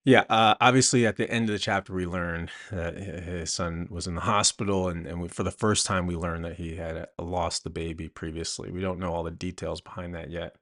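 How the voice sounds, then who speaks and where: A man's voice: sits low, fairly even intonation, a little fast, hardly any pauses, a bit bright. A man hosting a podcast, talking in a conversational, reflective and somewhat hesitant way. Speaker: podcast host. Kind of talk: conversational discussion.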